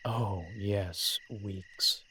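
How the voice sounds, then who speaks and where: Genteel, secretive age-old male vampire, performed by a man for audio roleplay; deepish voice, very slow, deliberate phrasing. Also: steady tone, pushed and bright, a little hiss or hum, exaggerated voice. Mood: pleasant, reassuring. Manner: measured, formal, genteel phrasing with deliberate pauses. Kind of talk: scripted audio roleplay addressed to the listener.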